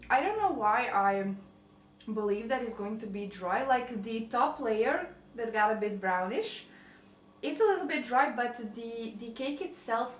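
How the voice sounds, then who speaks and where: A young woman with a Croatian accent rambles cheerfully and pokes fun at herself as she bakes. Her voice sits a little high and bounces around an echoey home kitchen, with a faint hiss underneath. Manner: chatty, rambling, self-deprecating. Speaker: vlogger. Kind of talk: casual kitchen chat.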